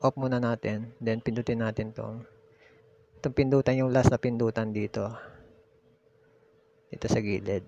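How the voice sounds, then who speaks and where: A man's voice: mid-range voice, fairly even intonation, normal speed, long pauses. A Filipino man hosting a casual step-by-step demonstration, explaining in an instructional way and repeating key steps. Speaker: tutorial host. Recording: muffled lo-fi audio with background noise.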